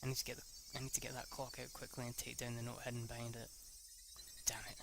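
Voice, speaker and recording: A young man reading a visual novel aloud in the first person, halting and correcting himself, with casual swearing now and then. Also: medium pitch, completely flat delivery, background noise. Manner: breaks off with casual swearing asides. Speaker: amateur reader voicing a visual novel. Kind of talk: casual first-person read-through of game text. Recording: loud game music behind voice.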